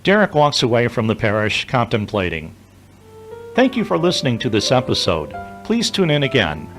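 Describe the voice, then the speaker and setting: Lively male narrator over music. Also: medium pitch, moderate pace. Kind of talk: scripted audio drama narration.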